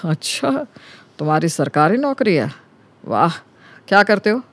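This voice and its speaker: Man putting on a fussy, high-voiced stern father. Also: very animated, unhurried, fairly bright tone, no echo, exaggerated voice. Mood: curious, approving. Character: stern, grumbling middle-aged father, easily impressed. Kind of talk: animated Hindi story character acting.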